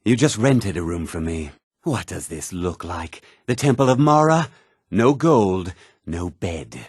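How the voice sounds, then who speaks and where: Casting: condescending fantasy RPG townsman, short-tempered, lively swooping intonation, close dry sound. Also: low-pitched, a little slow, slightly rough, no echo, heavily acted. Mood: dismissive, curt. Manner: condescending, curt, sneering. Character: haughty, irritable townsman of a fantasy realm. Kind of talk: fantasy video game character lines.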